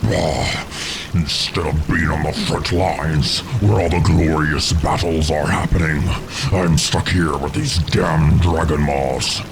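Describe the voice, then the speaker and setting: An actor playing a proud, scornful orc warrior in a video game, gruff and gravelly, grumbling with contempt. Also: male voice, extremely low pitch, steady tone, takes it slowly, background noise, full character voice. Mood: bitter scorn.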